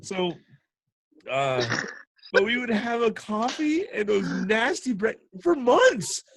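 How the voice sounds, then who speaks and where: Animated, high-voiced man swapping stories. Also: slow, breathy edge, dry recording. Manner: animated, jokey, prone to laughing. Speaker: podcast host and military veteran telling stories. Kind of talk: casual storytelling chat.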